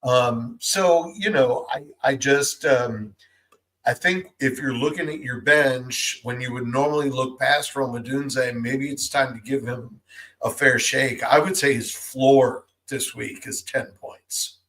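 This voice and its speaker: A man's voice: medium pitch, fairly even intonation, a little slow, a bit bright, poor audio. A man co-hosting a fantasy football podcast, talking casually with frequent hesitations and fillers. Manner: chatty, hesitant, many ums and you-knows. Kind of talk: casual sports analysis chat.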